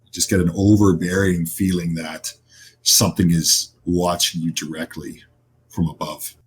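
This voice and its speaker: Low-pitched male game master. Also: little pitch movement, moderate pace. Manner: informal, conversational. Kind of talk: casual improvised narration of a tabletop game.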